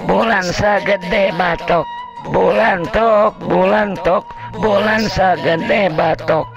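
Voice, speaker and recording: A man voicing village children as they sing a playful, drawn-out chant in a folk story. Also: high-pitched, fairly even intonation, vocal fry, muffled recording, music in the background, voice pushed up, full character voice.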